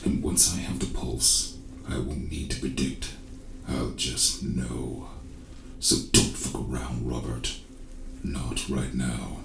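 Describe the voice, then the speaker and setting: Shroud, robotic masked vigilante. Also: male voice, a little hiss or hum, heavily acted. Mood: menacing. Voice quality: deep, gravelly. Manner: calm, menacing, deliberate. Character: masked male vigilante, cold and calculating. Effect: robotic voice filter. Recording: roomy echo, distant, music behind. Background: game music.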